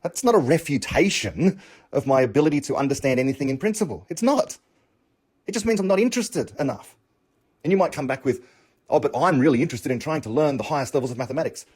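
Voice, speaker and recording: A man's voice: fairly high pitch, animated, fast, darkish tone, husky. A man with an English accent, thinking aloud in a reflective, argumentative monologue and often asking rhetorical questions. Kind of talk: unscripted monologue on learning and understanding.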